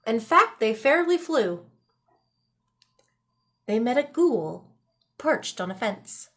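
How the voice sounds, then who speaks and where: A woman reading a children's story aloud in a dramatic, expressive style with deliberate pauses. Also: on the high side. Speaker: storybook reader. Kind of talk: animated children's read-aloud.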